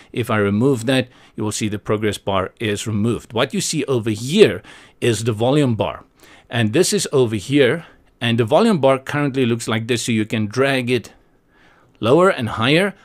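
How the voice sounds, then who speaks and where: A man hosting a software tutorial, explaining step by step in a conversational way with casual asides. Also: medium pitch. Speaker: tutorial host.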